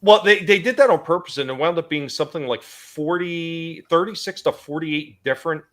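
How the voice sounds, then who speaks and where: A man's voice: fairly high pitch, moderate pace, fairly bright tone. A man hosting a casual collectors' show, chatting in a detailed, explanatory way. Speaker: host of a toy collecting show. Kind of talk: casual chat explaining toy history.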